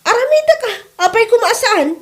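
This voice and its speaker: High-pitched, insistent young wife played by a woman. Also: expressive, fairly quick. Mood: indignant. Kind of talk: comedy drama acting.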